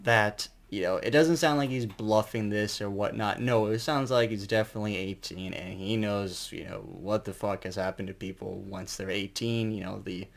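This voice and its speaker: A man's voice: fairly low pitch, fairly even intonation, normal speed, vocal fry, few pauses. A man giving a casual, unscripted album review as an enthusiastic fan, rambling and colloquial, with some mild swearing.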